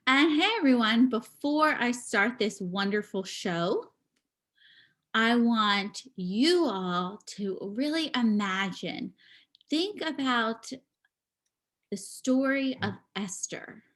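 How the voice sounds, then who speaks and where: A woman giving a live spoken interpretation of a signing performer, speaking in halting bursts with pauses and restarts, over a video call. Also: a little high, animated, fairly bright tone. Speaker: sign language voice interpreter.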